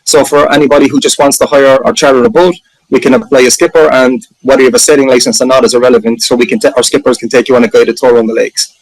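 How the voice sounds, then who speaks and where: A man's voice: medium pitch, steady tone, fast talker, no room sound, poor audio. A man with an Irish accent, presenting his sailing resort in a chatty, enthusiastic way and often correcting himself. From Ireland. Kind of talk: casual presentation on a video call.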